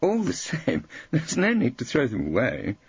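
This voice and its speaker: A man's voice: mid-range voice, wildly swooping pitch, moderate pace, slightly rough, dry recording, muffled recording, pitched above the performer's own voice, full character voice. A man voicing a fussy, self-important male tax barrister who is prim, earnest and disapproving.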